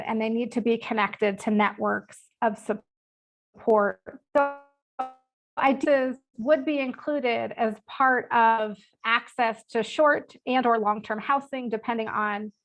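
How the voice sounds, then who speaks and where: Woman, stuffed up from a cold, somewhat high voice, earnest webinar talk. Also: rough recording. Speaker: panel speaker. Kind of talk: webinar presentation.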